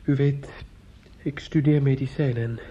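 A man acting in a Dutch radio play as a grieving, bitter young medical student who drinks, speaking in halting, repetitive rambles. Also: mid-range voice, fairly even intonation, warm tone, slight background noise, close to an everyday voice. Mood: rambling despair. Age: young adult. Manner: halting, rambling, repeats himself. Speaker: radio drama actor. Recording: muffled old radio play recording.

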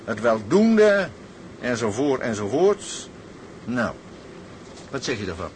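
An actor playing a formal, kindly older sea captain in a radio drama, with a pompous, declamatory way of speaking. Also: male voice, medium pitch, very animated, slow, a little mellow, slight background noise. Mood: satisfied. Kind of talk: Dutch radio drama acting.

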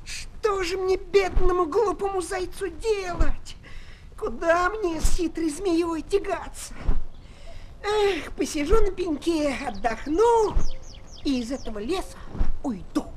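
A very high-pitched naive little hare. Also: female voice, slow pace. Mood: dejected. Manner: plaintive, exclamatory, childlike. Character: naive, kind-hearted young male hare. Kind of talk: children's audio drama acting. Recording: noisy 1970s flexi-disc record.

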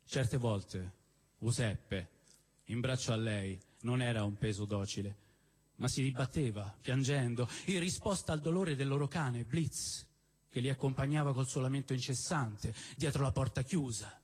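A man giving an expressive, theatrical reading of literary prose as a storyteller, with emphatic phrasing and deliberate pauses. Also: medium pitch. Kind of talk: expressive dramatic reading of a novel. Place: live stage at a celebration event in a cinema venue.

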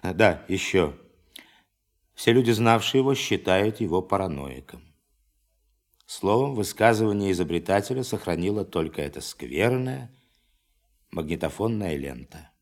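An actor playing an authoritative official giving a formal, emphatic briefing to scientists in a radio play. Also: male voice, deep voice, animated. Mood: dry, emphatic. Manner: formal, emphatic, commanding, dramatic pauses. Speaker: presenter of a demonstration.

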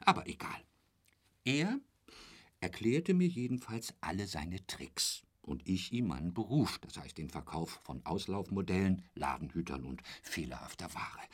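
A boastful travelling salesman in a radio play. His low, husky voice swoops about wildly as he rambles on, chummy and crude, often sinking into a breathy murmur. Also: heavily acted. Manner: chatty, rambling storyteller with a pet polite phrase, correcting himself. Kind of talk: German radio drama acting.

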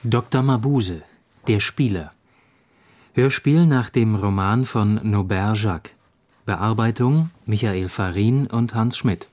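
Male announcer for radio credits: formal, scripted, unhurried, slightly low pitch. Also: darkish tone.

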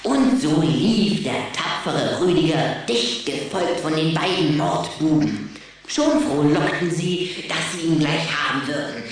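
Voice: mid-range voice, animated, unhurried. An actor playing a sly, boastful young boy vampire in a children's audio drama, pompous, bossy and teasing. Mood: pompous, declaiming. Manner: pompous, grandly declaiming, teasing. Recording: very echoey, distant, faint noise.

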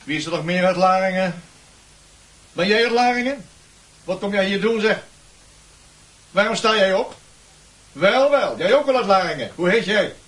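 Radio play schoolmaster: high-pitched, barking and sarcastic bully, heard a little way off the mic. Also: male voice, animated, normal speed, pauses often, a bit bright, far from the mic, rough recording. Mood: scornful, commanding. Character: stern, scornful male schoolteacher bullying his class. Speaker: actor in a radio drama. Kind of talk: Dutch radio play acting.

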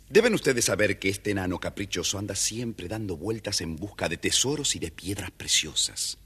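A man's voice: on the low side, bright, forceful tone, husky. A man with an Argentine accent, narrating a children's story dramatically as the storyteller.

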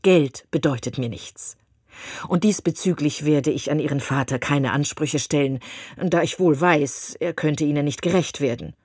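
A woman's voice: deep voice, normal speed, darkish tone, husky, no echo, studio quality. A woman voicing a pompous, long-winded male clergyman who condescends as he proposes, in an audiobook. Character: pompous self-satisfied male clergyman suitor. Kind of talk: audiobook reading of a classic novel.

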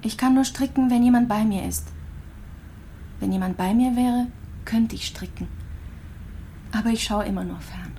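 An actress playing a resigned, gravely ill young mother in a radio play, speaking in a listless, brooding way, full of self-reproach. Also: a little high, moderate pace, pauses often, dark tone, a little hiss or hum, natural voice. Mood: despairing, self-reproachful.

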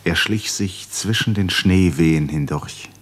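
A man narrating a literary radio drama in a grave, measured manner, with short, deliberate phrases. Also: low voice, husky.

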